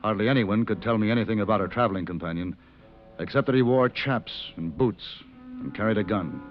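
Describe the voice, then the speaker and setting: Man playing a low-voiced, shrewd western gunman. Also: soft background music, close to an everyday voice. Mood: measured. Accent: American. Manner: dry, measured, commanding. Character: shrewd, unflappable western gun-for-hire. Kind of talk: old-time radio western acting and narration. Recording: muffled vintage radio recording.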